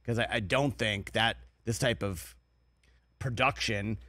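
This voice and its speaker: A man's voice: on the low side, a little slow, sharp tone, no room sound. A man co-hosts a sports talk show from a TV studio desk. He speaks in a conversational way, often restarting his words. His voice is close and dry. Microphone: desk broadcast mic.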